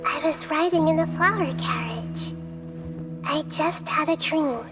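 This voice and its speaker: High, childlike dreamy little girl. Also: measured pace. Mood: gentle, dreamy. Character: small innocent dreamy girl deity, gentle and wistful. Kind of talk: scripted storybook-like game teaser narration. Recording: game teaser with background music.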